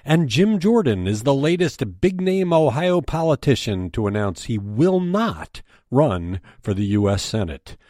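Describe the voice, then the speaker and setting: A man's voice: medium pitch, sing-song, unhurried, few pauses, darkish tone, no echo, clean studio sound. A man with an American accent reading a scripted news briefing as its host and editor.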